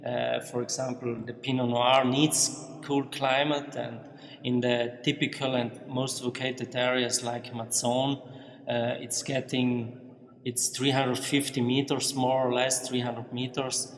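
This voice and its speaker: A slow-spoken, halting man explaining his family business to a visitor inside an echoing cellar-like hall. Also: mid-range voice, little pitch movement. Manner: halting, searching for words. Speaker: winery representative being interviewed. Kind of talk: explanatory interview answers. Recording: echoey, reverberant hall-like room.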